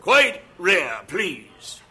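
A fervent British missionary preacher. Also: male voice, high-pitched, sing-song, very slow, bright, forceful tone, full character voice. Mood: chatty. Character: older British male missionary superintendent, earnest. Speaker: mission superintendent. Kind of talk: radio drama acting.

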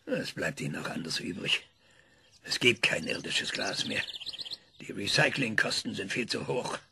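In a radio play, an eccentric, cranky older man who stalls with many ums and then lectures. His voice is gravelly and rises and falls in lively swings. A clear hiss of noise runs under the recording. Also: on the low side, moderate pace. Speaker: interviewee.

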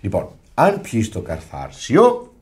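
A man's voice: lowish voice, wildly swooping pitch. An actor playing an exasperated, blustering father in a radio farce. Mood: coaxing. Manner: blustering, flustered, pleading. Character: exasperated, excitable father and flustered host. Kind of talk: radio play farce acting.

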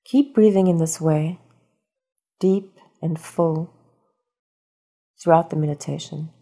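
A woman narrates a guided meditation with a mellow, dark tone. Her voice is breathy and husky, with a noticeable creak. She gives instructions calmly and soothingly, never hurried. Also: low voice. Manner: calm, soothing, unhurried instruction.

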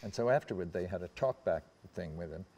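An American man in his seventies reminiscing in anecdotes on a panel, haltingly, often trailing off and circling back. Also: deep voice, expressive, darkish tone, slightly rough, lo-fi sound. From United States. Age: 70s. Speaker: panel guest recalling personal memories. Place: seated on a theatre stage.

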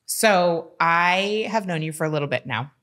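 Lively-voiced woman podcast host. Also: on the low side, normal speed. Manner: expressive, laughs often, playful echoes of the guest. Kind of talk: casual conversational interview. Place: decorated podcast studio set with plants. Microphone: studio mic on boom arm, close.

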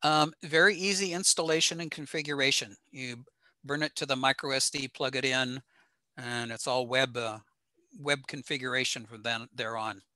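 Bright-voiced man giving a technical presentation. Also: medium pitch, steady tone, normal speed, no room sound. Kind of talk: informal slide presentation on technical gear. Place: indoor home room, over a video call.

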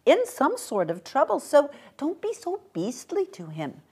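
A woman's voice: high-pitched, huge pitch swings, unhurried, darkish tone, voice pushed up, exaggerated voice. A woman voicing an earnest child who pleads with and scolds a parent in an audiobook, in a reproachful manner. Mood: pleading. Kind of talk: audiobook character voice.

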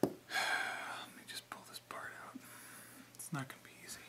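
A man whispering softly and breathily in an ASMR roleplay, hesitant and muttering to himself as he works as a mechanic. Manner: slow, hesitant, muttering to himself while working. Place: indoor room, close to camera.

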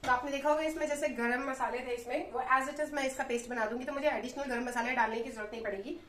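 Woman in her thirties, chatty cooking vlogger, higher voice, roomy off-mic kitchen sound. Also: steady tone, fast, recorded from a distance, noisy background. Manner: chatty, explains each step while cooking. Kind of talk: casual cooking walkthrough. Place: small home kitchen.

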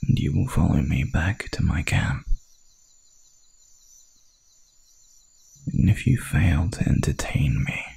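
A man voicing a hungry, dominant werewolf hunter in an ASMR roleplay, with a gravelly, breathy growl and a menacing, seductive drawl. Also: a bass voice, darkish tone, exaggerated voice. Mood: teasing, commanding.

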